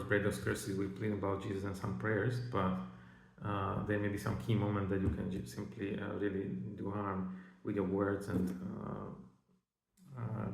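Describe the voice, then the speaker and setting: A man giving informal spiritual teaching and personal testimony in halting, nonnative phrasing. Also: lowish voice, creaky, darkish tone. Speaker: religious teacher. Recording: roomy, echoing voice recording.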